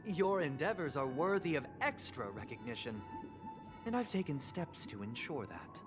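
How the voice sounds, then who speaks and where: An actor playing a composed, self-righteous young male leader in a video game, speaking in formal, measured oratory. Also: fairly high pitch, sing-song, over music. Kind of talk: dramatic video game dialogue.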